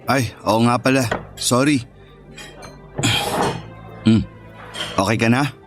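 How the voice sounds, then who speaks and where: A man's voice: mid-range voice, slow pace, natural voice. A man playing an eager, boastful thirty-year-old heir, coaxing and sweet-talking in Filipino radio romance style. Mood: casual, attentive. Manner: cocky, coaxing. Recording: background music under the voice.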